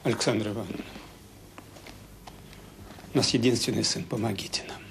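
A man's voice: on the low side, fairly even intonation, creaky. Worried guilty middle-aged father, stumbles over words, earnest, old radio play, slightly noisy. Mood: earnest pleading. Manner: hesitant, halting, earnest. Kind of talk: Soviet radio drama acting.

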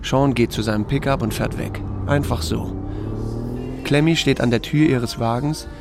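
An actor playing a laconic, wry small-town sheriff who narrates a radio drama in a gravelly, dry, unhurried voice. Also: male voice, medium pitch, music in the background, close to an everyday voice. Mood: brooding, musing. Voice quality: gravelly, creaky. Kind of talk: scripted first-person drama narration.

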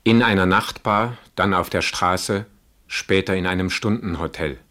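An actor playing a detached man who narrates in a radio play, measured and matter-of-fact. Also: deep voice, fairly bright tone.

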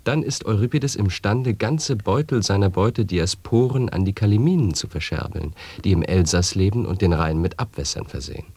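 An actor in a radio play reciting chained nonsense statements in a measured, lecturing way. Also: male voice, on the low side, animated, a little mellow, husky, no room sound. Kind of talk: reciting sound poetry.

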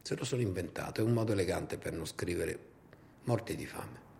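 A man's voice: sits low, animated, brisk, vocal fry, a little mellow, a little hiss or hum, full character voice. A man performing a dramatic war monologue as a theatrical, bitterly ironic storyteller. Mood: bitter irony. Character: bitter, sardonic male storyteller of war captivity.

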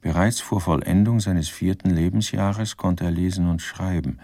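A dry, ironic storyteller for a radio crime drama. His voice is deep, dark and gravelly, with formal, measured delivery. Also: no echo. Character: dry, ironic narrator of a murderer's life. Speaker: narrator.

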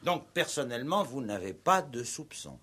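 An actor playing a calm, probing police commissioner in a radio play, measured and drily ironic. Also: male voice, mid-range voice. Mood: dry, ironic. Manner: measured, interrogating, gently ironic. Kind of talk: 1960s French radio play acting.

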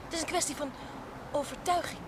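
An actress playing a sincere, hesitant young woman in love in a television drama, simple and gently questioning. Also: high-pitched, steady tone, fairly quick, background noise, natural voice. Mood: hesitant, serious. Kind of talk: 1970s Dutch television drama acting.

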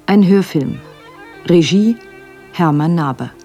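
An actress voicing a solemn storyteller who measuredly announces a radio play and recites from an old Arabian tale. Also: female voice, lowish voice, lively intonation, takes it slowly, mellow, dull audio, faint music behind. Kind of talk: radio drama title announcement and literary recitation.

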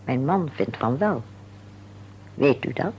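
An actress playing a composed, tactful middle-aged wife in a radio play, speaking formally and deliberately with polite insistence. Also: female voice, very deep voice, animated, darkish tone, exaggerated voice. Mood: frank, probing. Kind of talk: Dutch radio play acting. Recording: dull, hissy old radio recording with hum.

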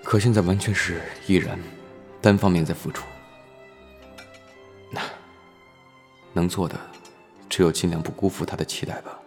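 A self-doubting actor in an audio drama, with a low voice. His delivery is restrained and gentle. Music sits behind the speech. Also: steady tone, fairly quick. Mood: brooding. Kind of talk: Chinese audio drama acting.

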